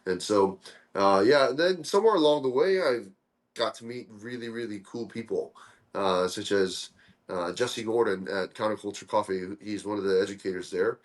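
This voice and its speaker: A young man from Korea, a guest in a casual interview, talking in a relaxed, friendly way with lots of "uh" fillers. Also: on the low side, normal speed. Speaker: guest, coffee dripper co-founder.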